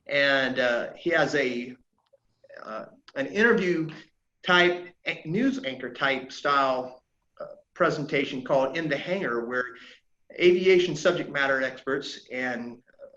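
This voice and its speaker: A man from South Carolina leads an online flight safety lesson. His voice sits fairly high, and he talks in an earnest, halting way with many fillers. The audio is dull and lo-fi, with a roomy echo. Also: a bit bright. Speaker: flight instructor presenting a safety webinar. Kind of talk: webinar presentation. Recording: dull lo-fi audio in an echoey room.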